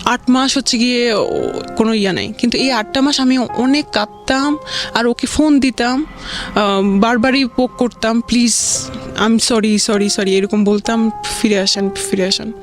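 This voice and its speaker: A young woman casually telling a personal story as a radio guest, in a hesitant, halting way. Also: a little high, expressive, over music. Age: young adult.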